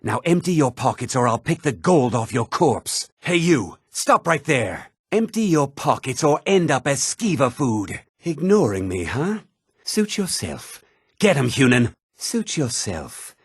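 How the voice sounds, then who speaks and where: An actor playing a menacing, bullying bandit with a rough, threatening manner in a video game. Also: male voice, medium pitch, sing-song, unhurried, dry recording, studio quality, full character voice. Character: menacing, bullying male bandit, quick to back down. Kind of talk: video game character lines.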